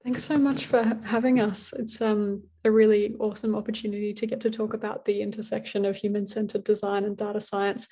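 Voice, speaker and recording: A panelist introduces themself and a colleague, friendly but hesitant, with frequent ums. The delivery is extremely flat and monotone, at a middling pitch. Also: female voice, fairly quick, few pauses, low-quality recording.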